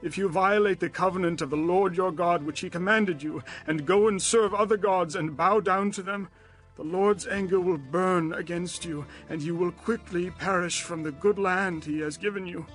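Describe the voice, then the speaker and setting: An actor playing an aged leader of Israel, grave and exhorting, in a dramatized scripture reading. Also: male voice, high-pitched, normal speed, fairly bright tone, soft background music. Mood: solemn, exhorting. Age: older. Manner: grave, declamatory, emphatic.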